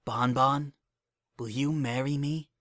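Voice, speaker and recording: A man's voice: mid-range voice, fairly even intonation, slow pace, a bit bright, no echo, clean studio sound, pitched above the performer's own voice. A man voicing an adoring female unicorn in a story, speaking in an earnest, heartfelt, speech-making way. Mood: tender, adoring.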